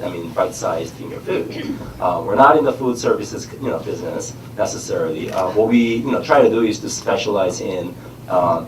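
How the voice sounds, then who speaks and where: A middle-aged man from Korea with a Korean accent, a startup founder speaking in a halting way with many fillers while nursing a cold and a numbed tongue. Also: on the low side, echoey room, recorded from a distance, slight background noise, low-quality recording. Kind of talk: casual onstage chat. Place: conference stage in a large room.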